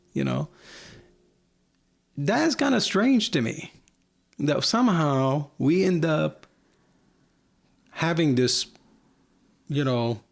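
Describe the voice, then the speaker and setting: A man's voice: on the high side, creaky. A man from Lebanon, talking as a guest at home in a candid, rambling way, full of "like" and "you know.". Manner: candid, rambling, filler-heavy with frequent "like". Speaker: podcast guest. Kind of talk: casual conversation.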